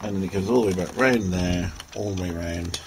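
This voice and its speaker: A man giving an informal hands-on technical walkthrough, unscripted and halting as he thinks aloud. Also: sits low. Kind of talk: informal hands-on show-and-tell narration. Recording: clearly noisy handheld amateur recording.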